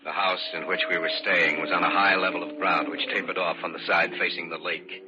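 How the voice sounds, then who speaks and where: An actor playing a steady, concerned man who narrates his eerie adventure in an old-time radio drama. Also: sits low, a little fast, close to an everyday voice. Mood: somber narrating. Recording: dull, noisy old radio recording.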